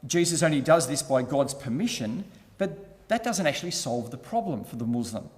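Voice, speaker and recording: A man's voice: medium pitch, lively intonation, normal speed, in a large hall. Methodical man arguing a point. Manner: methodical, repeating key points. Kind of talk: explanatory argument.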